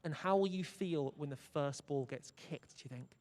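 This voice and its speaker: A man's voice: fairly high pitch, normal speed, darkish tone. A young man presenting live from an outdoor fan zone, upbeat and joking as he chats with fans at their tables. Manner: upbeat, hyped, jokey with guests. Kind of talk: energetic outdoor broadcast chat.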